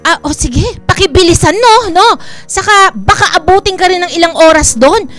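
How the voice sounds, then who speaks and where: A woman's voice: very high voice, expressive, normal speed, fairly bright tone, faint music behind. A woman acting a strict, impatient, scolding Filipino mother in a radio drama. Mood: nagging.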